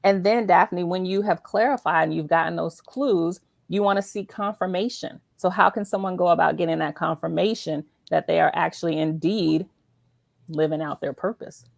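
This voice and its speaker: A woman's voice: on the low side, normal speed, creaky, no room sound, studio quality. A woman hosting a faith-based interview, talking earnestly and encouragingly, with emphatic check-ins like a teacher making points. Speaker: show host interviewing an author.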